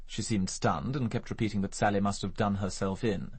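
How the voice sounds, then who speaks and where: An urbane English murder suspect in a radio drama, sardonic and evasive. His low voice is slightly husky, and he rattles along with dry, flippant articulacy. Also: fairly even intonation, brisk, a little mellow. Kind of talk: BBC radio drama acting.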